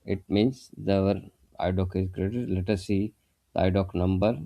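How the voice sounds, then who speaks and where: Man, software trainer, low-pitched voice, methodical step-by-step explanation, very dry close recording. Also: fairly even intonation, moderate pace, vocal fry, no echo, highs cut off. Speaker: software instructor. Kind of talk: step-by-step tutorial narration.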